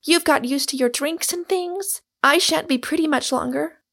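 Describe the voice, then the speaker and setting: A woman's voice: high voice, normal speed, creaky, fairly bright tone, pitched above the performer's own voice. A woman voicing a sensible, stout-hearted young lady from a storybook, speaking earnestly and with emphasis. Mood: earnest pleading.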